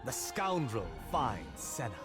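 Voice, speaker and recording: An actor playing a cocky young rogue hero who narrates theatrically and playfully for a game cinematic, full of boastful flair. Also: male voice, medium pitch, wildly swooping pitch, slow pace, loud music behind the voice. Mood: dramatic storytelling. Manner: boastful, playful, self-regarding.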